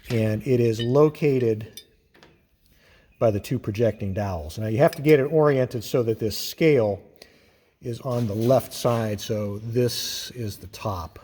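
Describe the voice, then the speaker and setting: A man's voice: mid-range voice, warm tone. Man in a reverberant, noisy workshop. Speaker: workshop host and machinist. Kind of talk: informal hands-on explanation of machinery. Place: indoors in a machine workshop. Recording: reverberant workshop with clear background noise.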